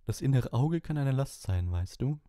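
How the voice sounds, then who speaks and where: A man voicing a theatrical middle-aged female fortune-telling teacher in a storybook, drawn-out, affected and smugly mournful. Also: medium pitch, expressive, dark tone, no echo, studio quality, voice pushed up, natural voice. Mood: airy, self-important.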